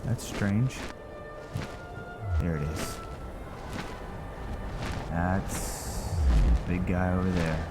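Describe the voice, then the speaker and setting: A man giving casual video game commentary, thinking aloud in short, halting phrases over game music. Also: deep voice. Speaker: gamer, video creator. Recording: loud game music behind the voice. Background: loud game music.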